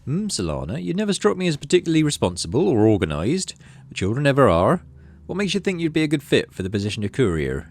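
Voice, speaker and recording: A man's voice: mid-range voice, wildly swooping pitch, fast, a little mellow, voice pushed up. A man voicing a stern, skeptical colony administrator in a video game, with a formal, condescending manner.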